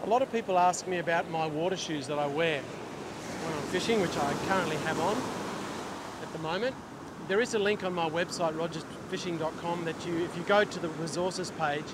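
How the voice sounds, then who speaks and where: High-voiced Australian man outdoors by the surf. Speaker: fishing instructor and vlogger. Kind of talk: casual instructional talk to camera. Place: open ocean beach, outdoors. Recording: outdoor wind and surf noise. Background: waves, surf and wind.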